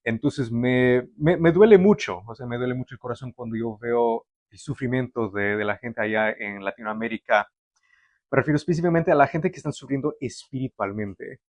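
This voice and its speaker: A man's voice: medium pitch, fairly quick, a little mellow, no room sound. A young man born in Canada, preaching a sermon to a livestream audience in a conversational way, with fillers and restarts. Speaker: pastor.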